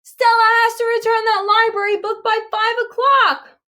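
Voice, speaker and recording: An eager storybook boy scout, played by a woman, speaks up high and emphatically. He sounds keen and excitable. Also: normal speed. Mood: urgent shouting.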